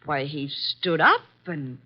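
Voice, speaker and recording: An actress playing a high-strung, emphatic woman caught up in a murder case in a 1950s radio drama. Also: big pitch swings, takes it slowly, full character voice. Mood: indignant, uneasy. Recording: dull vintage 1950s radio recording.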